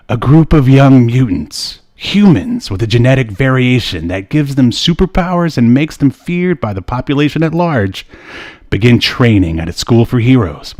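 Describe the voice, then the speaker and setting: A man's voice: mid-range voice, a little mellow. A man co-hosting a casual movie review podcast, rambling and hesitant with frequent asides. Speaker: podcast co-host.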